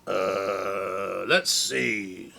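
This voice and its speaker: A man voicing a lazy, sleepy, slow-witted male bear in a children's story, drawling his words. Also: deep voice, gravelly, sharp tone, voice pushed down, full character voice. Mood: drowsy. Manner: drawling, drowsy, dim.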